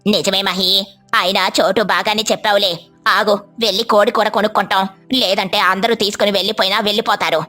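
A woman voicing a fussy, demanding crow neighbour in a cartoon fable, talking in a blunt, hurried manner. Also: medium pitch, steady tone, sharp tone, soft background music, pitched below the performer's own voice, lightly acted.

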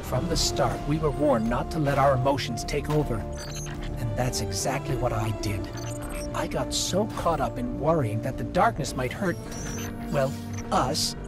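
Man voicing a sincere little robot companion, loud music. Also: mid-range voice, expressive, measured pace, lightly acted. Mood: apologetic, sincere. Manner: sincere, gentle, hesitant. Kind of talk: dramatic video game acting.

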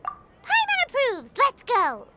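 A woman voicing a tiny, excitable, cheeky female fairy companion in a video game, with a squeaky childlike voice and perky delivery. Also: takes it slowly, heavily acted. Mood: cheerful. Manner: perky, refers to self in third person. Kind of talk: animated video game dialogue acting. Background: background music.

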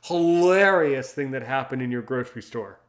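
A man's voice: mid-range voice, animated, moderate pace. A man from Maryland hosting a podcast, talking in a rambling, teasing way and often repeating his words. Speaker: podcast host. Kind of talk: casual interview chat.

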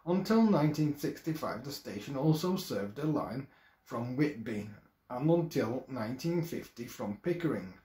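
A man in his thirties from England reads a history script from a screen at home, careful and a little halting, with occasional restarts. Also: mid-range voice, expressive, mellow. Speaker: video presenter. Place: small room at home, indoors. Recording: echoey room.

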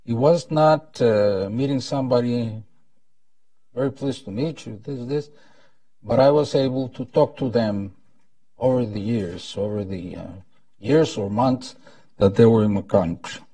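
A man's voice: medium pitch, a little slow, mellow, no room sound. Old costa rican guy opening a conference, solemn speech, dry sound, bit far from the mic. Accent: Costa Rican Spanish. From Costa Rica. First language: Spanish. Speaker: event host, former ambassador. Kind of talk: formal prepared welcome speech. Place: indoor conference room at a lectern. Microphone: lapel mic.

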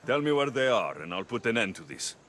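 A man's voice: medium pitch, big pitch swings, a little fast, a bit bright. A man playing a brusque, combative ancient Greek mercenary in a video game, talking in a blunt, terse way. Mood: defiant. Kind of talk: scripted game dialogue.

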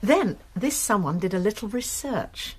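An actress playing a college nurse turned amateur sleuth in a BBC radio drama, explaining a case briskly. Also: female voice, medium pitch, lively intonation, husky.

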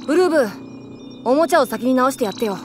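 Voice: sits high, animated, quick pace, soft background music. An actor playing a big-brotherly teenage boy hero in a Japanese audio drama, casual and friendly. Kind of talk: Japanese anime-style audio drama acting.

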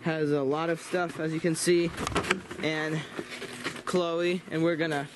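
Teen guy explaining in loud outdoor noise. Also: fairly high pitch, level tone, a little slow. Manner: plain step-by-step explaining with restarts. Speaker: homestead vlogger. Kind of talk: casual how-to explanation. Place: snowy birch woods outdoors and a home laundry room.

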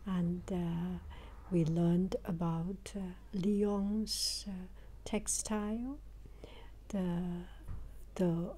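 Whispery, slow-paced older woman, halting. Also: mid-range voice, mellow, husky. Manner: halting, searching for words, repeats phrases. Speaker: travel vlogger. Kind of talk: reflective vlog narration.

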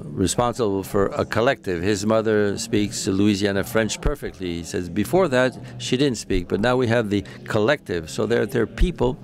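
A man interprets a panel discussion live in a deep, gravelly voice. He speaks haltingly, with frequent fillers, and corrects himself as he catches up with the speakers. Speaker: simultaneous interpreter.

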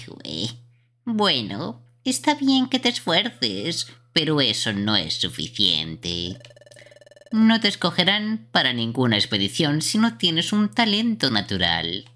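Woman voicing a low sneering bat henchman. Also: sharp tone, full character voice. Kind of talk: amateur live game dubbing.

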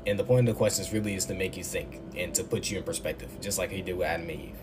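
A man teaching about faith in a quick, enthusiastic, conversational way, filmed indoors on a phone held close. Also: on the low side. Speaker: Bible teacher. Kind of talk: casual devotional teaching. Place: indoor room. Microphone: phone camera up close. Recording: background music under voice.

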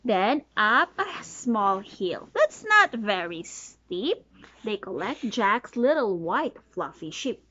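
A woman's voice: medium pitch, sing-song, slow, pushed and bright. A woman in her thirties reading a picture book aloud to her small child in a sing-song way, prompting with questions and counting. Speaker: mother reading to her toddler. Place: small bedroom, sitting on a bed. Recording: dull lo-fi home recording.

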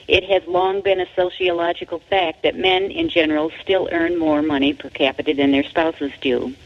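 A woman author reading their own book aloud as scripted narration, in a deliberate, emphatic manner. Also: fairly low pitch. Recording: dull, lo-fi audio.

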